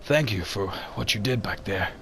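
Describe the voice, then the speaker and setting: A man's voice: lowish voice, lively intonation, normal speed, gravelly, pushed and bright, a little hiss or hum, full character voice. A man voicing an anxious, stubborn archaeologist with a hesitant manner in an amateur motion comic. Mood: earnest, determined. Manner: hesitant, stammering. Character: anxious, stubborn male archaeologist, desperate for funding.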